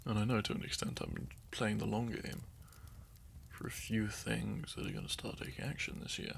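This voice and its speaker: A fairly low, gravelly young man in a loose, wandering chat to his listeners, his voice rising and falling a lot. He drifts off track, chuckles at himself, and sips wine between thoughts, with a faint hiss behind him. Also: big pitch swings, normal speed, pauses often, a bit bright. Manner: meandering, chatty, laughs often, loses his train of thought; sips wine while talking. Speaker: audio content creator. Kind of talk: unscripted casual ramble to listeners.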